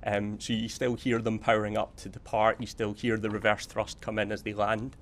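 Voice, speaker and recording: Low, flat-pitched man being interviewed. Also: moderate pace. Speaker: air traffic control watch manager. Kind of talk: spontaneous interview.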